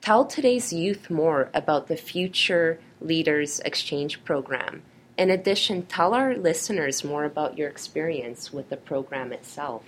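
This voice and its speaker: A woman's voice: low voice, lively intonation, measured pace, a little hiss or hum. A woman raised in Canada, with Polish as her first language, interviewing a guest and reading formal prepared questions.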